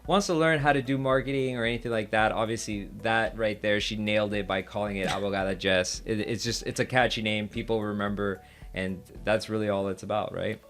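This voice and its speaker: A man's voice: lowish voice, steady tone, fairly quick, fairly bright tone, faint music behind. A man co-hosting a casual interview, asking rambling, hesitant questions full of "you know" and "like". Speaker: podcast co-host.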